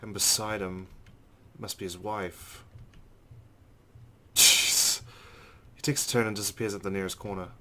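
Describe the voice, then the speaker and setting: A man's voice: lowish voice, flat delivery, a little slow, long pauses, sharp tone. A man hosting a let's play, reading a visual novel's narration aloud with casual asides.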